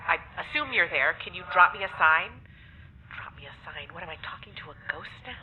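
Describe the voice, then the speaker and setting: A woman's voice: lowish voice, lively intonation, fairly quick, lightly acted. An actress playing a sarcastic, abrasive and unrepentant woman in an audio drama, delivered dryly and bluntly. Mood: irritated. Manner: dry, sardonic, blunt. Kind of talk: naturalistic audio drama acting. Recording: muffled lo-fi sound with clear noise.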